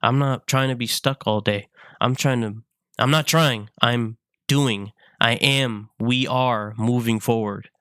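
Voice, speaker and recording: A man in his twenties, sick, talking in a halting, earnest way, often repeating himself in a personal vlog. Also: mid-range voice, pushed and bright, smooth, no room sound, studio quality. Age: 20s. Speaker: vlogger.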